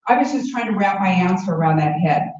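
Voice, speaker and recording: A woman's voice: lowish voice, animated, fast, darkish tone. A woman in the audience pressing a question at a forum, insistent and talking over the moderator. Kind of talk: interrupting at a public forum. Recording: echoey hall, distant muffled mic.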